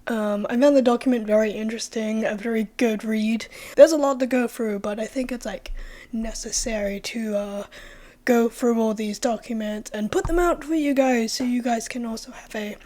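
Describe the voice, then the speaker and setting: Hoarse young woman, chatty and mellow. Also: a little high, normal speed. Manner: chatty, many "like" fillers, swears freely. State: hoarse, losing her voice after a cold. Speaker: true-crime vlogger. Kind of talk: casual opinion vlog.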